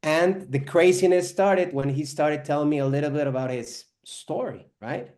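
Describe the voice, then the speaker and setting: Rambling Spanish-born man, slightly high voice. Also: normal speed, a little mellow. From Spain. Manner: rambling, many fillers like "you know" and "like". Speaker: filmmaker guest. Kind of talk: online interview.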